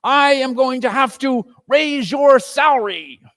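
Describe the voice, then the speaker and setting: A man's voice: very high-pitched, a little slow, dry recording, studio quality, pitched above the performer's own voice. A man playing an elderly reformed miser, exuberant and exclamatory, in a theatrical reading of a Victorian story. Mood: hearty generosity. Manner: exclamatory, exuberant, chuckling old gentleman. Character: elderly miser, newly reformed, giddy with joy.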